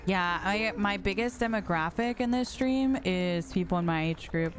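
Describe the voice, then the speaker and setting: A woman's voice: medium pitch, normal speed. Chatty woman in her twenties streaming. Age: 20s. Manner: chatty, reads chat aloud and answers. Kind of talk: casual live-stream chat while gaming. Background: background music.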